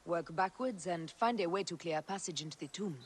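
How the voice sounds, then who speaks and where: Resolute agent, fairly low voice. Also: female voice, a little fast, husky. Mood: determined. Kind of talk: dramatic video game cutscene acting. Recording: reverberant space, faint background noise.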